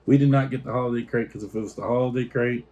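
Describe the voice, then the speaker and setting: A man's voice: medium pitch, steady tone, rapid-fire, dark tone, dull audio, a little hiss or hum. A man hosting a casual snack taste-test, reading out product notes and stumbling over foreign names. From United States.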